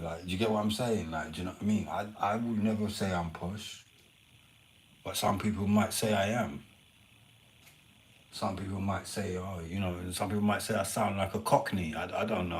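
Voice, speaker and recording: A man's voice: deep voice, fairly quick. A man casually chatting and rambling to his viewers on a live stream, swearing now and then. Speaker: livestreamer.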